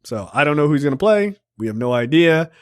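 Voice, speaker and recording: A man co-hosting a pop culture podcast in casual, profane chat, getting excited and laughing often. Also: fairly high pitch, quick pace, smooth, dry recording, studio quality. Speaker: podcast co-host.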